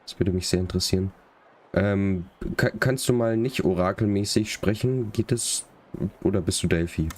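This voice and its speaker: Very deep-voiced man streaming a game. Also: normal speed, darkish tone. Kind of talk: casual live commentary. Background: game dialogue and faint music.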